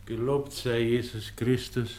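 An actor playing a humble, gently persistent monastery porter in a radio play, pleading with a Bavarian accent. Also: male voice, mid-range voice, level tone, unhurried, close to an everyday voice. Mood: humbly pleading. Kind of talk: radio play dialect acting.

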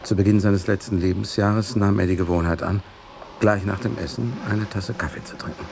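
A devoted companion, with a very low, gravelly voice. He speaks precisely and deferentially, in the measured style of literary radio drama, over faint noise. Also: fairly even intonation. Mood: sober, reflective. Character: sober, devoted male companion recalling an aging master.

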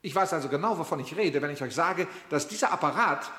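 High-pitched, indignant, pedantic philosopher. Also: male voice, lively intonation, fast talker, pushed and bright. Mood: boastful. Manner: pompous, demanding, pedantic. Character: shrill, self-important male philosopher, indignant, pedantic union delegate. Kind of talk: comic radio drama acting. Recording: echoey hall reverb.

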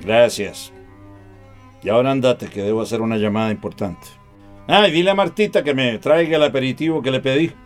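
A man's voice: medium pitch, brisk, soft background music, close to an everyday voice. An actor playing a haughty, scornful middle-aged businessman in a radio drama, bossy and condescending.

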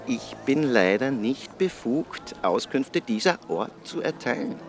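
An actor playing a discreet, stiffly polite count's servant in a radio drama. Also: male voice, medium pitch, animated, a little slow, music in the background, natural voice. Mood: polite, insistent. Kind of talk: German-language radio drama acting.

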